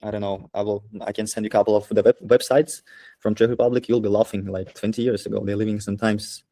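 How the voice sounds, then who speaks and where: Low, mellow man. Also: level tone, quick pace, no echo. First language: not English. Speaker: prospective client. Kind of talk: casual business chat.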